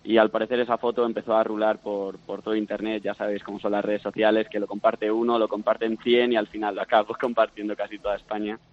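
Young male interviewee, Spain Spanish, low flat delivery, easygoing, over a dull phone link. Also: fast, hardly any pauses. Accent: Spanish from Spain, no marked regional accent. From Madrid, Spain. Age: 20s. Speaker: interview guest. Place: at home. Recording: band-limited phone call on radio.

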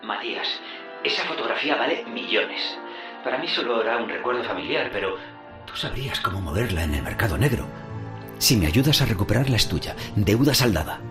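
A man's voice: on the low side, expressive, brisk, natural voice. Man playing an anxious, pleading father over music. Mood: persuasive. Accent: Spanish from Spain. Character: indebted factory worker father, anxious and pleading. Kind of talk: futuristic radio drama acting.

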